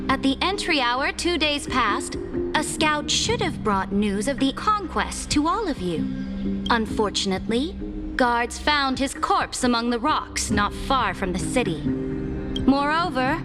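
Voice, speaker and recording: A woman playing an imperious, ruthless empress in a video game, commanding and formal in a theatrical way. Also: sits high. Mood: cold, commanding. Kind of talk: scripted video game drama acting.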